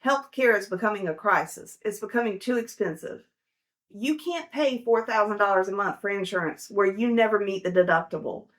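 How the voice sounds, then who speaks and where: A woman's voice: medium pitch, moderate pace. A woman in her forties giving casual, down-to-earth money advice, chatty and full of fillers. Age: 40s. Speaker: personal finance host. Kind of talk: casual advice talk to camera.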